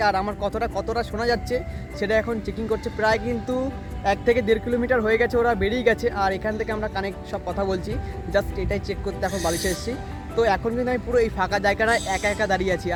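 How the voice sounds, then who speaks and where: A young man, chatting casually to viewers as a vlogger and often repeating himself, recorded outdoors. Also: sits high. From West Bengal, India. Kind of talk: casual vlog narration to viewers. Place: outdoors in an open rural area.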